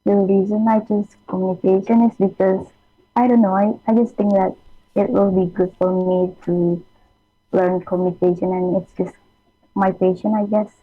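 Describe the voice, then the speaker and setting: A woman's voice: mid-range voice, level tone, moderate pace, husky, no echo. A young woman with an Indonesian accent, a student answering radio interview questions hesitantly, her first language being Indonesian. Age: young adult. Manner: hesitant, many fillers. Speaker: student guest. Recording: tinny phone line, faint music.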